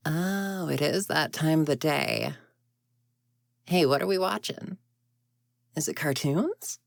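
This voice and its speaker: Woman acting a grumpy low-voiced tsundere ghost girl. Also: slow pace, gravelly, full character voice. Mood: annoyed, incredulous. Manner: huffy, muttering to herself, indignant. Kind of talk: audio roleplay acting.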